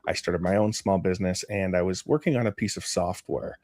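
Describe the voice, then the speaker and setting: A man from Canada speaking as an interview guest, casual and halting, often restarting his phrases. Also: low voice, a little mellow, no room sound. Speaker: interview guest, community manager.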